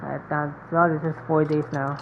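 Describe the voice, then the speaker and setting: A woman's voice: sits low, level tone, moderate pace. A woman giving casual live gameplay commentary as a streamer, musing in a rambling way with lots of fillers. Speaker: game streamer. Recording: dull mic, faint background noise.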